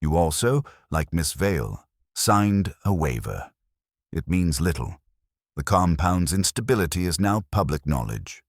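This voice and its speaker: A man voicing an imperious, unhurried detective who never leaves his chair, speaking in a cold, precise, commanding way. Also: sits low, big pitch swings, no echo, studio quality, heavily acted. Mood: stern.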